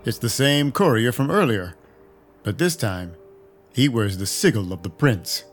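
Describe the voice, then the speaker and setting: An actor playing a cynical, violent vampire detective, narrating a noir audio drama with wry menace. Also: male voice, mid-range voice, animated, measured pace, close to an everyday voice. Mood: brooding, wry. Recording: music behind the voice. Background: music.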